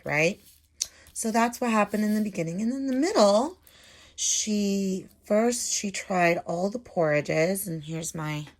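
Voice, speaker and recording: A woman teacher explaining things simply to young children, talking casually as she draws and adding little check-in questions. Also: fairly low pitch. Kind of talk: casual instructional talk while drawing.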